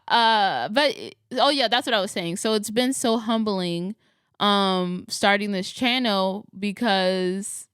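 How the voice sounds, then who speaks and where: Chatty young woman with a smooth voice. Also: medium pitch, dry recording, clean studio sound. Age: 20s. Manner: rambling, chatty, self-correcting with frequent laughs. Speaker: astrology vlogger. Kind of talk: casual chat to camera.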